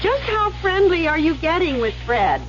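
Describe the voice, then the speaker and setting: Lively, protective young woman friend. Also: very high voice, moderate pace. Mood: probing, uneasy. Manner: coaxing, probing, hesitant when worried. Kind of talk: 1970s radio drama acting. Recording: muffled, noisy old radio recording.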